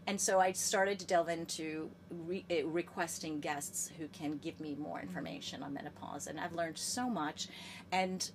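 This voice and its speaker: A woman's voice: deep voice, fairly even intonation, moderate pace, slight background noise. An American woman in her fifties, a guest chatting enthusiastically in a podcast interview, often restarting her phrases. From United States. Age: 50s. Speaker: guest, gerontologist.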